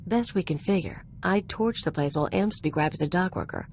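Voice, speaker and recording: An actress playing a brash, streetwise gunslinger in a video game, talking in a casual, clipped, cocky way. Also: female voice, on the low side, expressive, a little fast, a little mellow, slight background noise. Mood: breezy. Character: brash, streetwise female outlaw gunslinger companion. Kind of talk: conversational video game acting.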